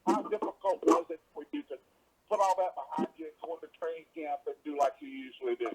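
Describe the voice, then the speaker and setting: A man asking halting, rambling questions as a sports reporter on a conference call. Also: a little high, husky, poor audio.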